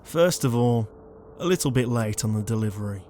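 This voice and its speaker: A man's voice: medium pitch, animated, normal speed, dark tone, slight background noise, lightly acted. A man playing an exasperated, conscientious ghost in a comedic audio roleplay, flustered, hesitant and pleading. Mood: exasperated, urgent. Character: exasperated, conscientious male ghost, murdered shut-in.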